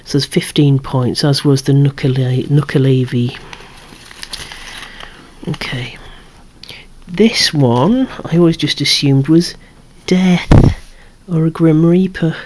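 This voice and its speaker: A person whispering an ASMR show-and-tell of a collection in a hushed, breathy, unhurried way, musing aloud with wry asides. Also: medium pitch, fairly even intonation, a little hiss or hum. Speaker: toy collector presenting a collection.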